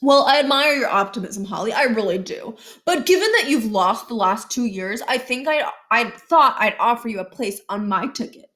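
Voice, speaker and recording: A woman's voice: on the high side, animated, normal speed, hardly any pauses, a bit bright. Woman as a smug, pompous schoolboy bully. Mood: smooth, smug. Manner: pompous, falsely polite. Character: smug schoolboy bully posing as a smooth politician.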